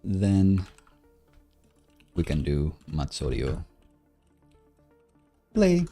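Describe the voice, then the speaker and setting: A man with a low, unhurried voice talks through his code on a casual livestream. He muses to himself haltingly, in a mellow tone, with soft music and typing behind him. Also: huge pitch swings. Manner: hesitant, halting, self-musing. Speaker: live-coding streamer and host. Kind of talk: casual livestream coding commentary, thinking aloud. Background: soft background music, computer keyboard typing.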